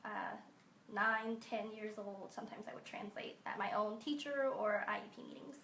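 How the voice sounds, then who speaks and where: A young woman from Hong Kong giving measured, explanatory interview answers. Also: mid-range voice, fairly bright tone, echoey room, a little hiss or hum. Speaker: guest.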